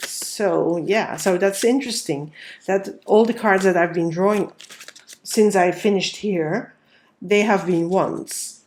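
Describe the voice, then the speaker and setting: A woman's voice: mid-range voice, slow, a little mellow, slight background noise. A woman with a cold and a nasal voice, giving a casual tarot reading and talking through each card as she lays it out. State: has a cold. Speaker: tarot reader.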